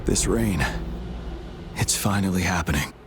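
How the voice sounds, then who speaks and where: An actor playing a grim, resolute general in a video game, speaking in a measured, clipped, commanding way. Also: male voice, sits low, music in the background. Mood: grave. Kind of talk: dramatic video game acting.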